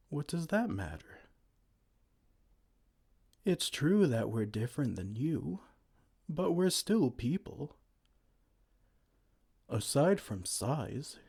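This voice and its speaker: A man voicing a gentle, kind male giant who talks softly and soothingly in an ASMR roleplay. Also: mid-range voice, gravelly, breathy edge, full character voice. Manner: soft, soothing, comforting.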